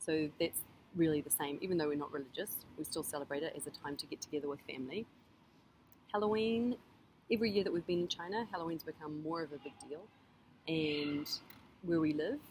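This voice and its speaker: A middle-aged woman from New Zealand, answering her child's interview questions in a thoughtful, measured way with frequent pauses and ums. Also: deep voice, a little mellow, a little hiss or hum, poor audio. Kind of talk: casual family interview.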